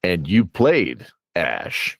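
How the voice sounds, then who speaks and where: Male host, lively swooping intonation, close dry sound, jokey conversational banter with chuckles. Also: sits low, very slow, vocal fry, no echo. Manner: jokey, chuckles while talking. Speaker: interview host and game master. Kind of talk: casual bantering interview chat.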